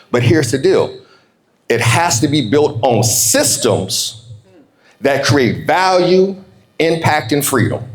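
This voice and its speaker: An American man giving a motivational keynote, emphatic and folksy, slowing down to repeat key phrases. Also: mid-range voice. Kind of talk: motivational keynote speech with call-and-response. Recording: roomy, echoing live speech.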